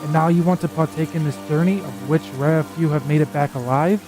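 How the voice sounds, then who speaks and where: An actor playing a gruff, candid warrior adventurer in a fantasy audio drama, grave and plain-spoken. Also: male voice, a little high, steady tone, brisk, music in the background, lightly acted. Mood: frank, grave.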